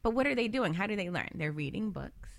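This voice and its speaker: A woman's voice: mid-range voice, big pitch swings, fast, gravelly. A woman speaking as a financial advisor on a panel talk, with an emphatic coaching manner that repeats key points. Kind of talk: lively panel talk on money habits.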